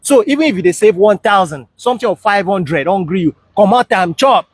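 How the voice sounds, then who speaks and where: Casting: Nigerian man, fairly high husky voice, dry sound, informal vlog storyteller who advises and checks you follow. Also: expressive, fairly quick, no room sound. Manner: advising, often checks listeners understand. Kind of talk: casual chat, storytelling in Nigerian Pidgin and English.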